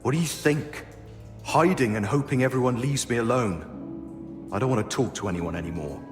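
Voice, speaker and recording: A man's voice: mid-range voice, animated, normal speed, close to an everyday voice. Actor as grumpy, evasive man in echoing room. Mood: weary, guarded. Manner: defensive, weary, grudging. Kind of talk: video game cutscene acting. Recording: echoey stone-room reverb, music behind.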